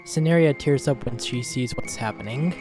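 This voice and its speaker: A man narrates a comic-book drama in a mid-pitched voice. He reads scripted story narration, and soft music runs underneath. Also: moderate pace. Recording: music behind the voice.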